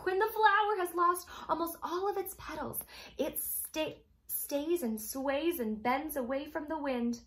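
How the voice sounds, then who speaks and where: Young lady reading a kids picture book, high voice, slow n super expressive, storytime host vibe. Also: a little hiss or hum. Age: young adult. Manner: expressive, addressing young listeners as friends. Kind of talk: animated children's picture book read-aloud. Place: small room at home, indoors.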